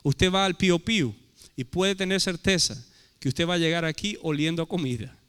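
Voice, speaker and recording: A man's voice: fairly high pitch, normal speed, fairly bright tone, smooth. A man with a Portuguese-tinged accent preaching a sermon as pastor, emphatic and full of rhetorical questions. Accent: Portuguese-tinged Spanish. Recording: echoey hall reverb.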